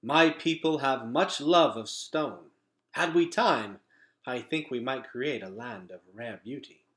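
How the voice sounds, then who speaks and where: A man's voice: medium pitch, expressive, slow pace, fairly bright tone. A man voicing a dignified, courteous male elder in a storybook, with formal, old-fashioned phrasing. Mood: proud, gracious.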